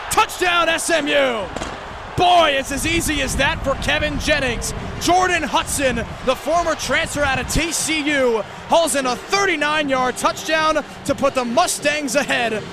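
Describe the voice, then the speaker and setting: A young American man calls a football game live in a strikingly high voice. He is an excitable student play-by-play broadcaster who lifts into shouts on big plays. Loud stadium noise sits behind him. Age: young adult.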